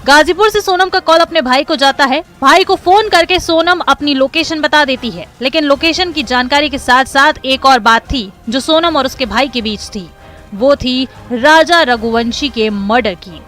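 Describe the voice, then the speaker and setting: A woman's voice: sits high, moderate pace, fairly bright tone, soft background music. A woman narrating a scripted crime news report in a dramatic, emphatic manner.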